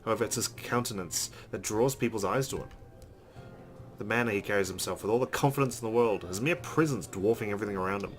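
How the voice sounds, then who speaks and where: A man hosting a let's play, reading a visual novel's narration aloud in an expressive storytelling way. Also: on the low side, fast talker. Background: background music with guitar.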